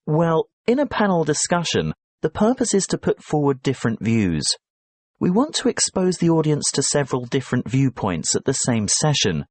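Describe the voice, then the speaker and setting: A man playing a professor who briskly explains a task to his students in a scripted exam dialogue. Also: on the high side, huge pitch swings, a little mellow, no room sound. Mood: explaining. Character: male professor guiding students, calm and brisk.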